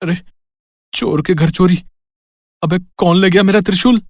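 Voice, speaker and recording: A man's voice: high voice, measured pace, no room sound, studio quality. A man playing a hapless, bewildered village thief in a cartoon moral story, exclaiming and pleading dramatically. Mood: bewildered, alarmed. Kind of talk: animated Hindi moral story acting.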